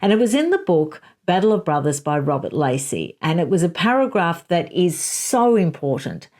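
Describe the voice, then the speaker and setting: Solo commentary host, woman, lower-pitched voice, forceful and rebutting. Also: big pitch swings, normal speed. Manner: emphatic, rebutting, reads quotes aloud.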